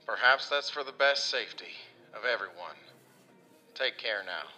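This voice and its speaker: An actor playing an earnest, rough-edged working man, folksy, halting and sincere, in an audio drama monologue. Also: medium pitch, expressive, bright, forceful tone. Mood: earnest, tender. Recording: music behind the voice.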